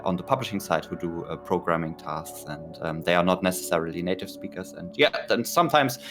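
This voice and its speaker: Low-voiced german guy, game dev, lots of ums and restarts, a little music under it. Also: brisk. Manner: hesitant, many fillers and restarts, laughs at himself. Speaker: indie game developer, studio head. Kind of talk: casual interview conversation.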